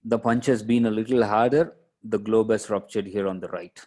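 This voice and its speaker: A man lecturing as a radiologist, explaining case images step by step in a halting, explanatory way. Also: fairly low pitch, steady tone, darkish tone.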